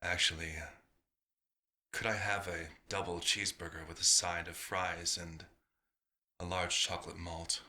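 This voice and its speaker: A man's voice: deep voice, level tone, a little slow, long pauses, bright, forceful tone, full character voice. A man playing a bewildered, hungry vampire doctor turned human, speaking formally and hesitantly in an audio roleplay. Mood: polite, hesitant.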